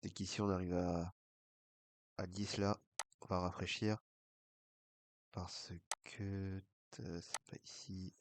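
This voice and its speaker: Low, flat-voiced man with a stuffy nose. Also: slow, pauses often, background noise. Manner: mutters half to himself while clicking through bets. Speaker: online casino streamer. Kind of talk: casual play-by-play of an online baccarat session.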